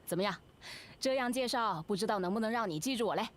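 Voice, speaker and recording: A woman voicing a spirited, boastful young boy escort and lion dancer in a video game, with an energetic, rhythmic delivery. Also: mid-range voice, fast talker, close to an everyday voice. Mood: cheeky.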